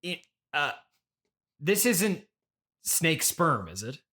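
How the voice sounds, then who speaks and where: A man playing a bewildered podcast interviewer, asking halting, skeptical questions in a casual mock interview. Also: a little high, big pitch swings, bright, forceful tone, full character voice. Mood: bewildered, probing. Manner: hesitant, halting, politely skeptical. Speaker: podcast host interviewing guests.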